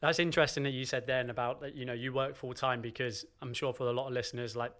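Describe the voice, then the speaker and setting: Male podcast host, medium pitch, informal and conversational interviewing style. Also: steady tone, quick pace, fairly bright tone. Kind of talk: casual interview.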